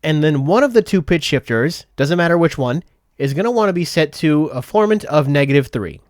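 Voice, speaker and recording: A man narrating a software tutorial step by step in a methodical, explanatory way. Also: a little high, lively intonation, fairly quick, dry recording, studio quality. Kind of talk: step-by-step instructional voice-over.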